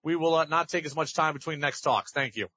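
A man's voice: a little high, a little fast, pushed and bright, husky, highs cut off. A man hosting a sports talk radio show, giving emphatic commentary and repeating phrases for stress.

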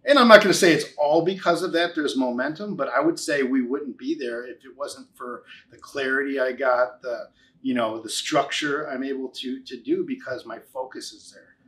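A man's voice: medium pitch, normal speed, a bit bright, low-quality recording. A man in his forties talking conversationally as an interview guest, giving a testimonial with emphatic, repeated key phrases. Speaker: interview guest, financial advisor.

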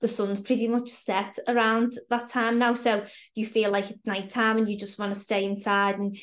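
A woman, a fitness coach co-hosting a podcast, explaining things in a steady, reassuring way. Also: medium pitch, normal speed. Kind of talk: casual podcast chat.

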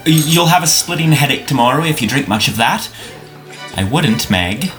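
A man's voice: medium pitch, animated, normal speed, a bit bright, lightly acted. A young man playing a frank, gallant teenage boy in an audio play, blunt but courteous. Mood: disapproving. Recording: music playing behind the voice.

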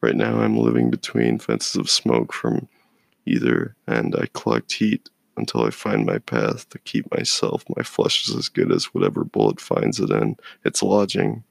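A man reciting spoken-word poetry as a poet, in a brooding, measured, dramatic delivery. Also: very deep voice, lively intonation, gravelly, no echo.

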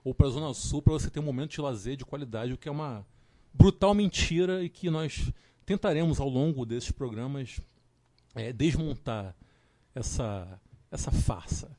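A man's voice: mid-range voice, normal speed, mellow. A man hosting a casual live-stream chat, emphatic and joking. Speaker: host.

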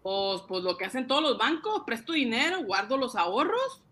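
A man's voice: very high voice, big pitch swings, normal speed, pushed and bright, heavily acted. An actor in a comic radio drama playing an eager, blustering immigrant man of forty who stammers when flustered. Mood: nervous. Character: excitable Latin American immigrant man, forty, eager upstart banker.

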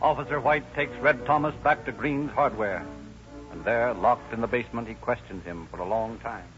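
Actor as formal radio narrator over music. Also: male voice, mid-range voice, normal speed, fairly bright tone, natural voice. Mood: measured. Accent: American. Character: grave, formal male narrator of police story. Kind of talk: scripted dramatic narration, 1930s radio. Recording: dull old radio recording.

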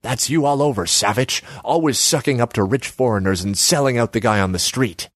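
A man's voice: mid-range voice, brisk, fairly bright tone, no echo, clean studio sound, pitched above the performer's own voice, natural voice. A man voicing a pompous, airy male politician who talks in wordy, self-righteous phrases in a story reading. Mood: accusing.

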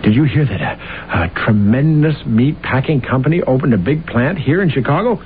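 Actor playing a grandiose, smooth-talking young striver, swooping delivery. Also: male voice, mid-range voice, moderate pace, close to an everyday voice. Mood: boastful, excited. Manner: grandiose, flattering, oratorical. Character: boastful ambitious young man, a smooth-talking law clerk courting a lady. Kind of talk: old-time radio drama acting. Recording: tinny, noisy vintage radio recording.

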